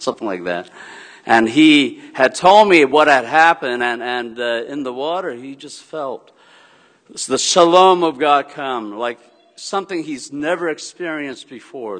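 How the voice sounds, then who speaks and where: Man telling stories in a reverberant church. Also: medium pitch. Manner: rambling, warm storytelling with many fillers and restarts. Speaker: pastor. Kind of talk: church announcements and sermon. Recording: long hall reverb.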